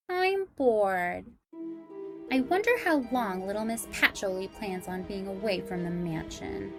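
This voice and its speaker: A woman's voice: mid-range voice, expressive, slow pace, pauses often. A woman playing a bored, haughty vampire lady in a radio drama, languid and condescending. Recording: loud music behind the voice.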